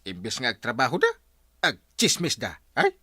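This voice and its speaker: A man with an Ilocano accent playing an excitable village captain in a radio comedy drama. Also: mid-range voice, normal speed, slightly rough, dry recording, studio quality, full character voice. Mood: exclaiming, grumbling.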